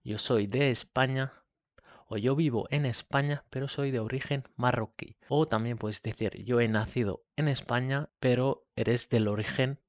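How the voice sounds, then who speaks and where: A man from Spain teaching a beginner Spanish lesson, slowly repeating each example phrase. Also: medium pitch, steady tone, no room sound, muffled recording.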